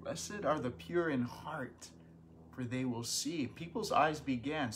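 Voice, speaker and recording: A man, a pastor teaching a Bible study from home, earnest and animated, with bursts of emphasis. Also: medium pitch, slight background noise. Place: small living room at home.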